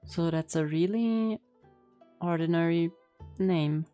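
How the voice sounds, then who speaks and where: A woman streaming a game in a casual way. Her voice is soft-edged and mellow, a little low, as she reacts and reads aloud over faint music. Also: slow. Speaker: gaming streamer.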